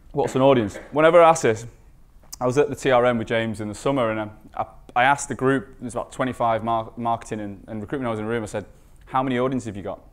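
A man presenting as a conference speaker, informal and emphatic, often posing rhetorical questions. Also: mid-range voice. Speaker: conference speaker, recruitment marketing expert.

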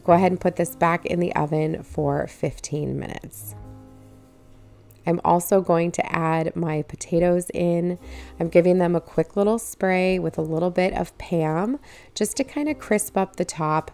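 A woman narrating her home cooking video in a relaxed, step-by-step way, walking viewers through each recipe stage. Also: fairly low pitch, unhurried, soft background music. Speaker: cooking channel host.

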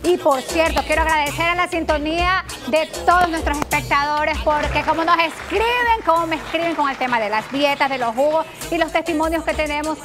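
Chatty high-voiced Ecuadorian TV host. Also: female voice, fairly quick, few pauses. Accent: Ecuadorian Spanish. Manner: chatty and teasing, exclaims. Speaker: fitness cooking host. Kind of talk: lively TV cooking chat. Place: TV studio kitchen set. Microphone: lapel. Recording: TV studio with music bed.

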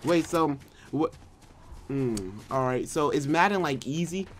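A man's voice: fairly high pitch, measured pace, a little hiss or hum. A young man streaming a game from his bedroom, chatting playfully and asking teasing questions. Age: young adult. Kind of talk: casual gaming chat. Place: small bedroom, indoors.

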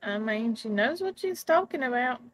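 A woman's voice: on the high side, expressive, moderate pace. A woman chatting casually while roleplaying in an online game. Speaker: roleplay gamer.

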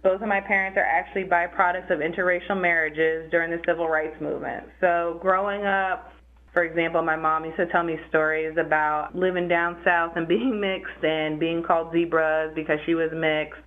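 A young adult woman, a physical therapist, answering interview questions in a measured, explanatory way. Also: fairly low pitch, steady tone. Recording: phone-like narrow sound.

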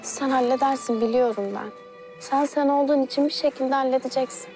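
A woman's voice: high-pitched, steady tone, fairly quick, over music. A teasing young woman. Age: young adult. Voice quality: playful teasing. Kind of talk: TV series acting. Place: outdoors at night.

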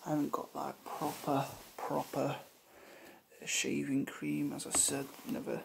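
A young man playing a chilled roadman street barber in an ASMR roleplay, softly spoken and often whispering, with laid-back slangy talk. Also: fairly high pitch, completely flat delivery, a little slow. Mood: chilled, hushed. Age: young adult. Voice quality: creaky, hushed. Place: small living room or bedroom indoors. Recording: noisy hissy home recording, clicks.

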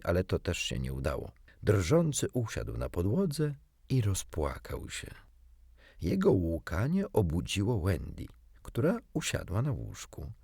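Very animated low-voiced male storyteller. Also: gravelly, husky, no room sound, clean studio sound. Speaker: audiobook narrator. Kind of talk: expressive scripted storytelling for children.

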